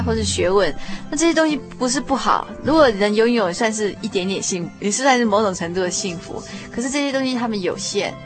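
Taiwanese Mandarin woman hosting over music. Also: mid-range voice. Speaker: radio host. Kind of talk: radio interview hosting. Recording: music playing behind the voice.

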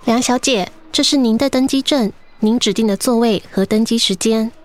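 An actress plays an airline check-in agent in a radio drama. She has a fairly high voice and speaks in a polite, efficient service manner. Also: moderate pace, close to an everyday voice. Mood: polite, businesslike.

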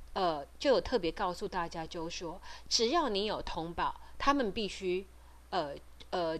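A middle-aged woman with a Taiwanese Mandarin accent giving informal advice as a host, explaining things hesitantly with frequent pauses and trailing particles. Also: mid-range voice. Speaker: podcast host and parent advocate. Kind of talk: informal advisory talk.

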